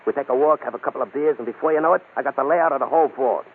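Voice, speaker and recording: A man's voice: mid-range voice, very fast, husky. An actor playing a nervous small-time crook, a gang's front man, talking in slang in an old-time radio crime drama. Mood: eager, slangy. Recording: crackly, narrow old radio broadcast.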